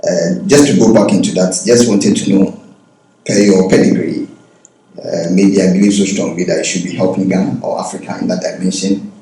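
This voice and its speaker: Deep-voiced man asking questions in an echoey room. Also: steady tone, normal speed, mellow. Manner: asks long rambling questions. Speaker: interviewer. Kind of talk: interview questions. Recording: very echoey room, faint background noise.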